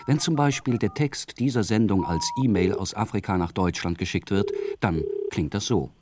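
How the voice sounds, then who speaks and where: A man reading a scripted radio documentary in a calm, measured way. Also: fairly low pitch, noisy background. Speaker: radio feature narrator.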